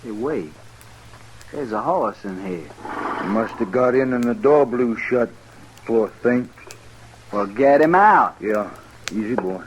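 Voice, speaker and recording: An actor playing a bickering, uneasy outlaw on the run, with a rustic frontier drawl, in an old-time radio western. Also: male voice, medium pitch, animated, slow pace, long pauses. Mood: cautious. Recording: noisy vintage radio recording.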